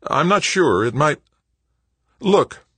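A man voicing a gruff, rugged veteran male explorer on the moon in an audiobook story. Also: fairly high pitch, sing-song, slow pace, bright, forceful tone, dry recording, pitched above the performer's own voice, exaggerated voice. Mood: startled.

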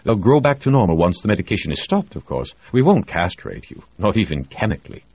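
A man's voice: sits low, sing-song, fairly quick, darkish tone, husky, no echo, lo-fi sound, voice pushed up, full character voice. A man voicing a sly, leering English ship's doctor who talks in a smug, teasing way. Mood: mock-serious. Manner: smug, teasing, with a crooked grin.

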